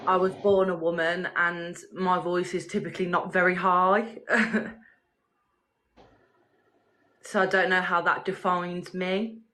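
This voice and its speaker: A woman's voice: lowish voice, flat delivery, slow pace, pauses often, a bit bright. A woman calling in to a livestream, curious and rambling with likes and ums. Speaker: caller. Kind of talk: casual call-in chat.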